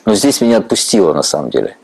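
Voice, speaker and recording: Candid low-voiced interviewer from Ukraine. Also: male voice, big pitch swings, fast talker, darkish tone, husky, rough recording. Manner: candid, reflective, laughs easily. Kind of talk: conversational interview. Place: church stage, indoors.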